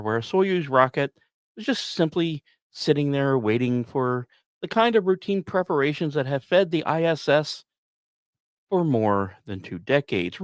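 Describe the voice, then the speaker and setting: A man's voice: a little high, no echo, clean studio sound. A man hosting a podcast, reading scripted space news like a dramatic story with conversational asides. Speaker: podcast host.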